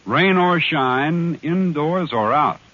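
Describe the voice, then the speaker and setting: A male announcer sells in vintage radio style. He speaks slowly and emphatically at a medium pitch with lively rises and falls. The broadcast is muffled and hissy. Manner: measured, emphatic salesmanship. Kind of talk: 1940s radio advertising announcement.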